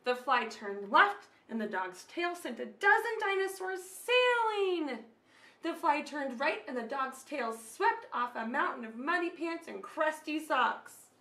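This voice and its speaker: Woman, thirties, children's storyteller, bright clear-cut tone, expressive read-aloud, echoey home room. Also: high voice, a little slow. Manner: expressive, addressing children with questions and asides. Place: small room at home, indoors.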